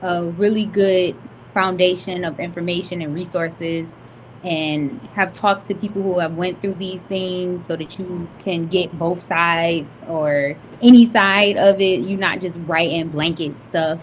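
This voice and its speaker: High-voiced young woman, laid-back. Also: steady tone, measured pace, slight background noise, low-quality recording. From Detroit. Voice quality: high-pitched voice. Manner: laid-back, giggly, lots of "like" and "um". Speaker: author, podcast guest. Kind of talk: casual chat.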